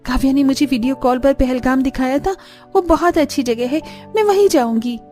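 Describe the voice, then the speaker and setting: A woman voicing a gentle, devoted young bride in a cartoon story, with a sweet, earnest manner. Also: high-pitched, a little fast, soft background music, lightly acted. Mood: dreamy excitement. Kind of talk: Hindi cartoon story dialogue.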